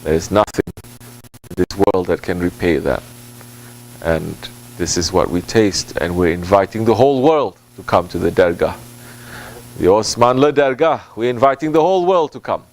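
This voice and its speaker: A man speaking as a Sufi religious teacher in an informal sermon, with deliberate, halting phrasing, repetition for emphasis and Arabic and Turkish devotional phrases mixed into his English. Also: low voice, low-quality recording. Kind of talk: informal sermon answering a question.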